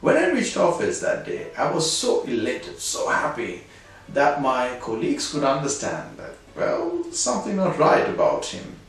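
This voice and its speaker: A man's voice: fairly high pitch, unhurried. A middle-aged man from India with an Indian English accent, telling a personal anecdote in a conversational way and closing with a lesson. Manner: animated, conversational, moral at the end. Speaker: storyteller host. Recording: very echoey room, distant mic, faint music.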